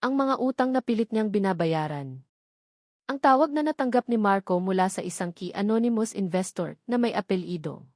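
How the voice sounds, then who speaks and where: A woman's voice: medium pitch, lively intonation, brisk, a little mellow, no room sound. Filipino woman narrating a dramatic story, dry sound.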